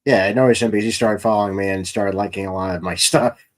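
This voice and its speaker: A man's voice: on the low side, fairly even intonation, fast talker. A man hosting a casual interview about his creative work, talking as a writer. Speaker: podcast host and comics writer.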